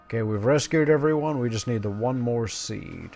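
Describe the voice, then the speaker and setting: A man's voice: medium pitch, lively intonation, moderate pace, creaky, a little mellow, soft background music. A man giving casual commentary as he plays a game, muttering playful asides. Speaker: gamer narrating his playthrough. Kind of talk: casual gameplay commentary, reading quest text aloud.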